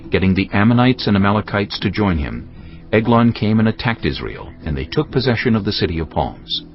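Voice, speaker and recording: A deep-voiced man narrates scripture in a solemn, measured storytelling style. His intonation rises and falls dramatically. Music plays beneath the slightly dull recording. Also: big pitch swings. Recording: dull audio with music behind.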